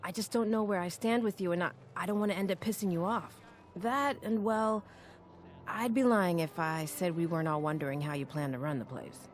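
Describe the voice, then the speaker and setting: An actress playing a nervous, deferential doctor among raiders in a video game, speaking hesitantly. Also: female voice, fairly low pitch, a little hiss or hum, lightly acted. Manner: hesitant, halting. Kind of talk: video game character dialogue.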